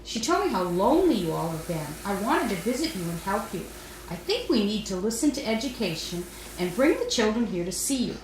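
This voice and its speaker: A woman's voice: mid-range voice, sing-song, brisk, natural voice. A woman voicing a caring, eager little girl in a children's storybook, earnest and emphatic. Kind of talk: children's storybook read-aloud. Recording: roomy echo, faint background noise.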